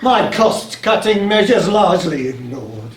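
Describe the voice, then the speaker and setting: An actor playing a weary, boastful aging sea god in a live stage play, declamatory and grumbling. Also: male voice, sits high, sing-song, unhurried. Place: live in a playhouse, far from the mic. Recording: distant, echoey theatre stage, faint noise.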